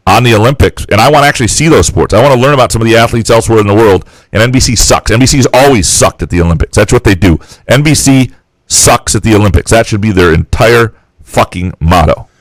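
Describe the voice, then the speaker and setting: Brash male co-host with a slightly low voice, opinionated rants, dry studio sound. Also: fast, no echo, low-quality recording. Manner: blunt, opinionated, profane rants. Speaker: sports talk radio co-host. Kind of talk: casual sports talk.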